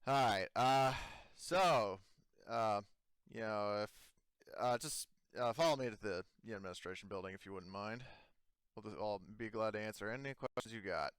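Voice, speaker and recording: A man voicing a brisk, plain-spoken woman who runs a frontier colony in a tabletop game. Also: fairly low pitch, fairly bright tone, clear, resonant tone, dry recording, lightly acted. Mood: harried, relieved.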